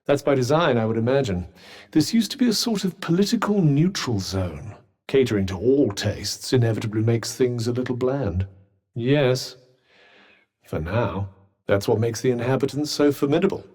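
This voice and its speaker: Game companion, sentient magic cuff, played by a man: British, pompous and articulate, dry sarcasm, highly animated pitch. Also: normal speed, natural voice. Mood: erudite, explanatory. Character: sardonic, haughty talking magical cuff, erudite male companion. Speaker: voice actor. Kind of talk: video game companion dialogue.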